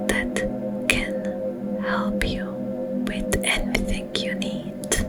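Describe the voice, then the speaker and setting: An ASMR performer voicing a sleep paralysis demon who was once a monk, telling its grim past in breathy, drawn-out whispered phrases with long pauses. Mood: hushed, ominous. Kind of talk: whispered ASMR roleplay storytelling. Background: music.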